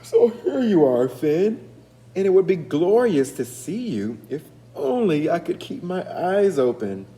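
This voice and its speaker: A man's voice: a little high, sing-song, measured pace, mellow, pitched above the performer's own voice, rough recording. A man reading a storybook and voicing a weary, exasperated village mother with plaintive, dramatic complaining.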